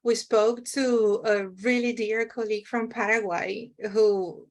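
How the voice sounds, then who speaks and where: A woman's voice: fairly high pitch, steady tone, takes it slowly. A woman speaking unscripted on a panel, explaining things in a conversational way. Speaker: panelist and podcast contributor.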